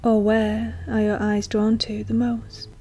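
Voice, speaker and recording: A woman guiding a meditation in a soft, breathy, often near-whispered voice, speaking gently and slowly with long, soothing pauses. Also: fairly high pitch, flat delivery. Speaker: guided meditation teacher. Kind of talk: slow guided meditation narration.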